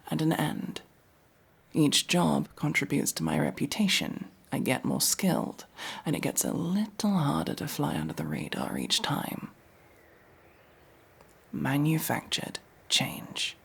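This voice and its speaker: Man playing a gravelly, guarded immortal elf. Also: on the high side, big pitch swings, exaggerated voice. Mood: brooding, wistful. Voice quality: breathy, gravelly. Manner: brooding, halting, often dropping to a whisper. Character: guarded, world-weary five-hundred-year-old elf mercenary, young-looking. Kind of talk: ASMR fantasy roleplay, intimate dramatic acting.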